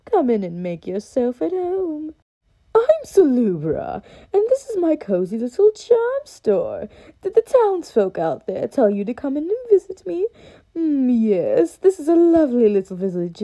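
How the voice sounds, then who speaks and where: A woman's voice: high voice, very animated, a little slow, dark tone, no echo, full character voice. Woman as a gushing, swooping bug shopkeeper. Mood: gushing, welcoming. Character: gushing, cozy female charm seller bug. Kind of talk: fan dub of video game dialogue.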